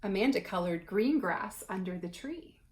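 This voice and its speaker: A woman's voice: mid-range voice, expressive, normal speed. Conversational woman, reading to children. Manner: conversational, asks the listener questions while reading. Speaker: teacher reading a picture book aloud to children. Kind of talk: read-aloud and explaining to children.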